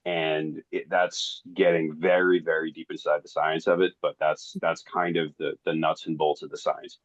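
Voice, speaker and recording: A man's voice: very deep voice, little pitch movement, moderate pace, no room sound. A man giving an informal technical explanation as someone who works in the field, on a conference call. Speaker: professional in the oil science field. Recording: dull, band-limited conference call audio.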